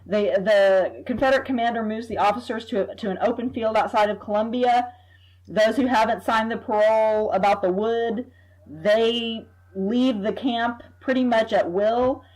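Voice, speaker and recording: A woman, a history professor, explaining at length as a podcast guest, measured and thoughtful, sometimes repeating words as she thinks. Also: fairly high pitch, darkish tone, low-quality recording. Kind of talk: expert explaining in an interview.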